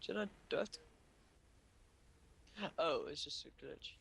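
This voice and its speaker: A man's voice: on the high side, lively intonation, unhurried, vocal fry, a bit bright, slightly rough. A man hosting casual gameplay commentary, rambling along as he talks to himself. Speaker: gaming video host. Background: game music.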